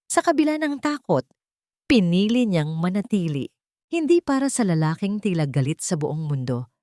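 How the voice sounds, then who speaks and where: A woman with a Filipino accent narrates a romantic audio drama in a measured, emotive storytelling manner. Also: sits low, a little mellow, dry recording, clean studio sound.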